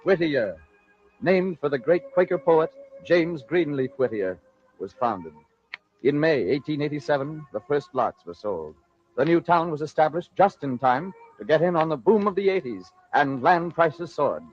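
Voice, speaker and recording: Higher-pitched American man narrating vintage radio. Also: big pitch swings, moderate pace, faint music behind. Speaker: narrator and host of a radio drama. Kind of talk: 1940s scripted radio narration. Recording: dull lo-fi 1940s radio recording.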